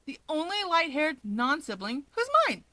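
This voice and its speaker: A woman voicing a cheeky, boisterous young man in a story reading, with an excitable, emphatic, teasing delivery. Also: high-pitched, a little slow, no room sound, pitched above the performer's own voice, exaggerated voice. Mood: playful, insistent. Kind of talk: expressive fan fiction character reading.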